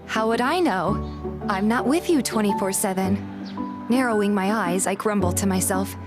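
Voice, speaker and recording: A woman's voice: mid-range voice, normal speed, over loud music, lightly acted. A woman playing a feisty, suspicious young woman who narrates her own story in a game fan dub. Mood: curious, suspicious. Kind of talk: scripted first-person narration and game dialogue, fan dub.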